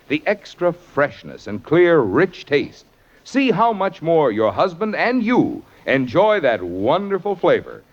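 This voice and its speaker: A man's voice: mid-range voice, lo-fi sound. A man reading a 1950s radio commercial as a persuasive, emphatic salesman, from an old broadcast. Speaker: commercial announcer.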